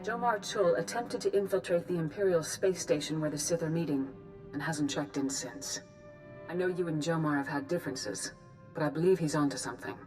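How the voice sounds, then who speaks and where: Solemn, low-voiced wise master played by a woman. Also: a little mellow, faint music behind, lightly acted, low-quality recording. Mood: grave, composed. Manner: measured, solemn. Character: grave, wise female Jedi master leader. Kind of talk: video game dialogue.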